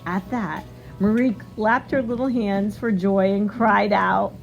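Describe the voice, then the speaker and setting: A woman reading a storybook aloud like a teacher, expressive and instructive, pausing to put questions to young listeners. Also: medium pitch, creaky, dull audio, faint music behind.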